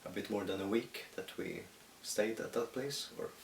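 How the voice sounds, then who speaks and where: A Swedish man, speaking English as a second language, who talks about his music in a halting, thoughtful way with many pauses. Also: lowish voice, creaky. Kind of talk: reflective solo monologue. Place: at home in the countryside. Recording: echoey room, distant mic, faint hiss.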